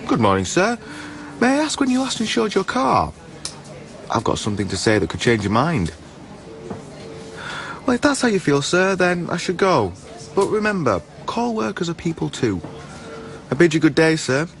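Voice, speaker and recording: An actor playing a weary, indebted telesales worker in a poetic radio drama, with dogged, polite patter. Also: male voice, medium pitch. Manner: polite salesman patter, swinging to flat weariness.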